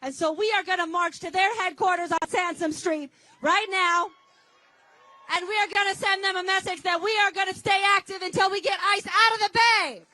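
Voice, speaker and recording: A woman with a very high, bright voice gives an energetic speech at an outdoor rally and leads the crowd in shouted chants. Her voice sounds dry and close to the mic. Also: moderate pace, dry recording, poor audio. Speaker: march organizer.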